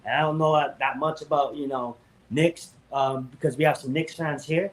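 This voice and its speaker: A man's voice: on the high side, steady tone, normal speed, poor audio. A man from Hawaii co-hosting a sports podcast, talking casually with lots of hesitation and self-corrections.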